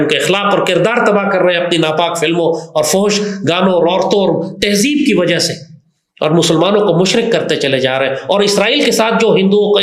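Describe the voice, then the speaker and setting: A man with a Pakistani accent delivering an impassioned political monologue in an emphatic, oratorical way. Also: high-pitched. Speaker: political commentator. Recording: roomy, echoing sound.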